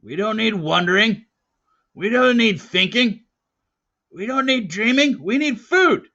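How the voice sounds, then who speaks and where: Storybook villain played by a male reader: big prehistoric hunt boss, harsh and short-tempered, pitched up loud, lo-fi sound. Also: lively intonation, measured pace, exaggerated voice. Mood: furious. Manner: harsh, shouting commands.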